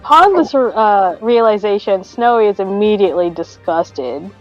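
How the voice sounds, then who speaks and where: A woman's voice: mid-range voice, animated, normal speed, highs cut off, faint music behind. A woman chatting casually as a player in a tabletop roleplaying game.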